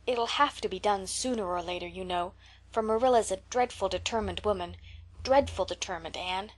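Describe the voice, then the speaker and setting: A woman voicing a shy, gentle elderly farmer who talks in a soft, hesitant, coaxing way. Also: mid-range voice, moderate pace, natural voice, low-quality recording.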